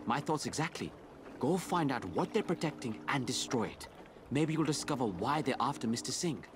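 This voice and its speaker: A male actor playing an earnest assassin ally, measured and polite, in a video game cutscene. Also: medium pitch, expressive, background noise, natural voice. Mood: businesslike. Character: earnest, soft-mannered Indian assassin ally.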